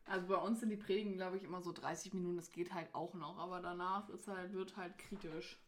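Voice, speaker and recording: A young adult woman co-hosting a podcast in casual, expressive and giggly conversation. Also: fairly low pitch, fast talker, slight background noise. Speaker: podcast co-host.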